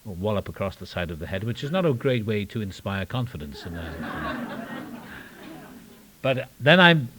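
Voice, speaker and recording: A man's voice: fairly low pitch, sing-song, a little slow, long pauses, background noise. An older man with a Welsh accent speaking at a recovery meeting, with wry self-deprecating humor and rambling asides. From Wales. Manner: rambling with restarts and trailing asides. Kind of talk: personal testimony talk. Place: meeting room.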